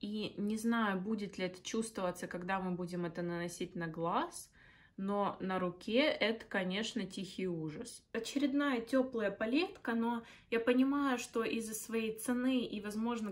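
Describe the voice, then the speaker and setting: A woman, casually reviewing makeup as a beauty vlogger, frank and openly grumbling. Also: a little high.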